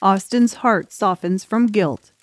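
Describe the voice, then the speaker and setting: A woman's voice: mid-range voice, slow, no room sound. A woman reading scripted story narration as an audiobook narrator.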